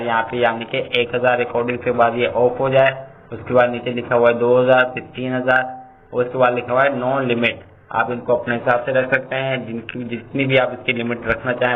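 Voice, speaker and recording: A man hosting a tutorial, explaining steps in a repetitive, instructional way. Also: mid-range voice. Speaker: tutorial host. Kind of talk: step-by-step phone tutorial narration. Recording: tinny phone-like audio, background noise.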